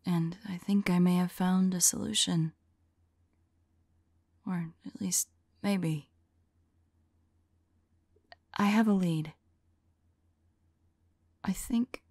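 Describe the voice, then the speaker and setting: A woman playing a weary, devoted vampire lover in an ASMR audio roleplay, speaking softly and haltingly in a breathy, whispery voice. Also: lowish voice, no room sound, clean studio sound, exaggerated voice. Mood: worried, self-doubting. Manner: gentle, halting, hushed delivery. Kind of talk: ASMR audio roleplay, soft intimate acting.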